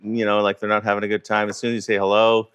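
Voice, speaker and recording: A man's voice: lowish voice, monotone, rapid-fire, fairly bright tone, clear, resonant tone. A man hosting a casual interview, asking easygoing questions that often restart midway. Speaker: host, interviewer.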